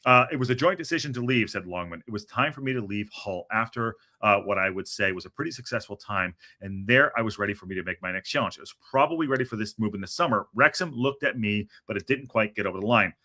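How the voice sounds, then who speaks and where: A man hosting a football podcast, talking in an animated, rambling way with a lot of restarts. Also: fairly low pitch, fast, hardly any pauses. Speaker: podcast host. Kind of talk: casual chat.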